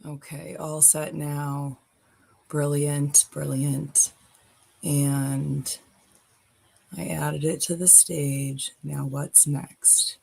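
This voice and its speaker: A woman's voice: low voice, little pitch movement, drawn-out words, vocal fry, darkish tone, husky. A woman hosting a live stream, chatting loosely and swearing casually as she sets up her screen. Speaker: livestream host.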